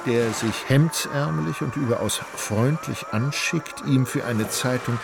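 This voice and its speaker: A man acting as narrator of a literary radio drama, an ornate, wry storyteller with a measured delivery. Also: mid-range voice, creaky, background noise. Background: music.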